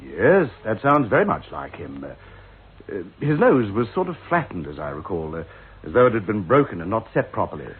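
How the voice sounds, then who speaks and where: A man's voice: fairly low pitch, sing-song, fairly quick, breathy edge, exaggerated voice. A man playing an impatient, irritable motorist in a radio drama, brusque and easily flustered. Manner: brusque, flustered, hesitant when pressed. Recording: tinny, noisy old radio recording.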